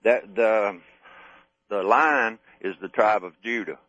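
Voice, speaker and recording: A man calling into a radio show, stating his views in a flat, matter-of-fact way. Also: lowish voice, slow, no echo. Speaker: caller. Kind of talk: phone-in call. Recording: dull lo-fi phone line.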